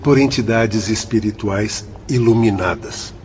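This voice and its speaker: An actor playing a solemn, earnest spirit mentor in a radio drama, speaking in formal, measured oratory. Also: male voice, lowish voice, a little mellow, slight background noise, close to an everyday voice. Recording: dull band-limited audio under music. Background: clear music.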